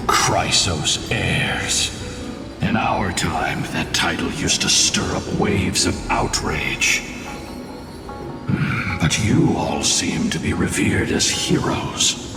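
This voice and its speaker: A man voicing an ancient, world-weary warrior guide in a fantasy game, speaking in a solemn, measured way. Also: low voice, distant mic. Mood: grave, solemn. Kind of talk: scripted fantasy game dialogue. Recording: echoey long reverb, loud music behind.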